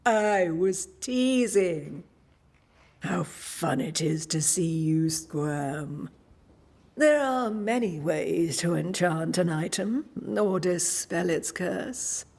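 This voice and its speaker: An actress playing an enigmatic witch leader in a video game, breathy and measured, giving cryptic, ominous guidance. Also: fairly low pitch, expressive, a little mellow, full character voice. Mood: teasing. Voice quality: breathy, husky. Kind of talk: dramatic video game acting.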